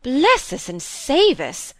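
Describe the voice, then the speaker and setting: Lady narrator doing a blunt older spinster sister in an old novel, excitable, swoopy, dry close sound. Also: a little high, no echo, voice pushed up. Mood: exclaiming. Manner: brisk, exclamatory, interrupting.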